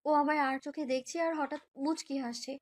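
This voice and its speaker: A woman's voice: high voice, steady tone, moderate pace. A young woman narrates a romantic story in the first person, in an emotive, girlish way, as if living it. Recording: background music under voice.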